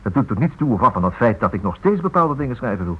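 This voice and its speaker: An actor playing an idealistic, stubborn writer husband in a radio play, talking quickly over himself in a halting, hesitant way. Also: male voice, mid-range voice, big pitch swings, breathy edge. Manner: hesitant, halting with repeated words. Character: idealistic writer husband, absent-minded, evasive yet stubborn. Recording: thin phone-like old radio recording.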